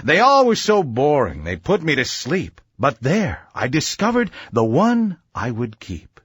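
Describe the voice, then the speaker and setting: A man's voice: a little high, wildly swooping pitch, unhurried, a bit bright, slightly rough, dry recording, studio quality. A man narrating a rhyming children's story in a theatrical, sing-song verse delivery.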